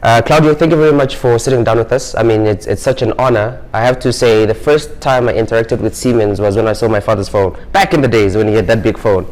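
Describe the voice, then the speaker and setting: A man's voice: mid-range voice, fast talker, hardly any pauses, a little mellow, rough recording. A man hosting a podcast, asking a guest friendly questions with some hesitant fillers. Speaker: podcast host. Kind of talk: casual interview questions.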